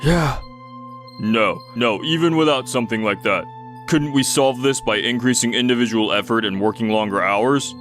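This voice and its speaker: A man's voice: mid-range voice, moderate pace, a bit bright, faint music behind, natural voice. A man playing a stubborn, weary middle-aged company boss in a manga dub, dismissive and grudging. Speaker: dub voice actor.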